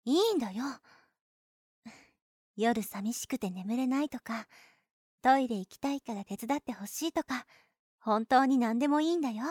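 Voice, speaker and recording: A woman acts out a young nurse for an audio drama in a high, anime-style voice. She is blunt and boyish in her phrasing, yet protective and clingy. The sound is very dry, with no room around it. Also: expressive, normal speed, pauses often, darkish tone, no room sound. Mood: doting, protective. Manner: blunt boyish phrasing, doting toward the patient. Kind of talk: Japanese anime-style situation voice drama acting.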